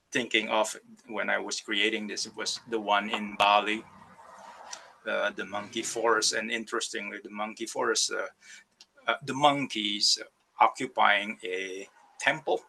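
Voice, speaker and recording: A man's voice: on the low side, fairly even intonation, a little slow, gravelly, sharp tone, slightly rough, low-quality recording. A man from Indonesia, an artist, giving a hesitant online talk about his work over a video call, with many fillers and restarts. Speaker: ceramic artist. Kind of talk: virtual artist talk presenting slides.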